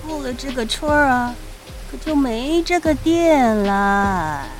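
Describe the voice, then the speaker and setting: Slow, sly elderly shopkeeper acted by a woman. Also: sits high, animated, faint music behind. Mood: sly, menacing. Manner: slow, drawling, teasing, chuckling menace. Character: sly, greedy elderly shopkeeper of sinister magic apples. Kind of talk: audio drama acting.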